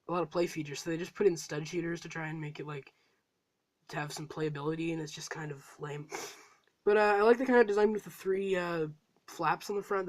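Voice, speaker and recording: A reviewer with a cold talking casually through a toy set review, rambling and full of fillers. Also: medium pitch. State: has a cold.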